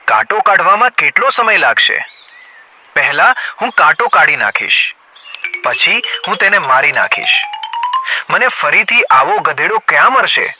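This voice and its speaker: Cartoon lion king, male actor: hungry, threatening, blustery, very animated delivery, music underneath. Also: high voice, unhurried, full character voice. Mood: scheming. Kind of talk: animated children's story voice acting.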